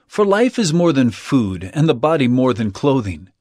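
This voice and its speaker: An actor playing an earnest male teacher of parables in a dramatized audio Bible, speaking in an emphatic, measured, declamatory way. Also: medium pitch, very animated, darkish tone, no room sound, clean studio sound. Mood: reassuring, earnest.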